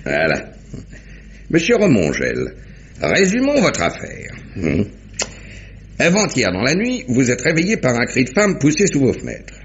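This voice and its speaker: Genial inspector with swooping intonation. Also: male voice, mid-range voice, huge pitch swings, a little hiss or hum. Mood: methodical, genial. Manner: deliberate, theatrical recapping. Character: genial, methodical police inspector recapping a case. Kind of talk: 1950s French radio mystery acting. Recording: dull vintage radio drama recording.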